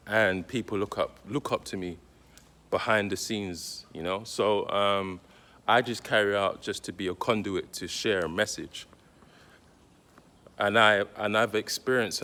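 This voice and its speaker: A man talking directly to his viewers, giving casual spiritual advice in a slow, deliberate, reflective way with pauses. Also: on the low side, a bit bright. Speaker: spiritual content creator.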